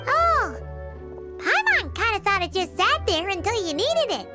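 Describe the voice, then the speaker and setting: A tiny fairy sidekick for a video game, in an extremely high, squeaky child's voice. Her delivery is excitable and chirpy and swoops up and down, with music playing prominently behind her. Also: a little slow, heavily acted. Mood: curious. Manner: excitable, talks of self in third person. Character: small, chirpy, childlike floating fairy companion girl.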